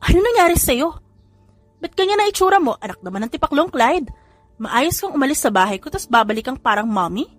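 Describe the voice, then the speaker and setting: A sharp-tongued young mother in a radio-style drama, scolding out of worry as a woman reader puts her on. Her voice jumps high and swings widely, loud and insistent, over faint music. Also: sing-song, fairly quick, no echo.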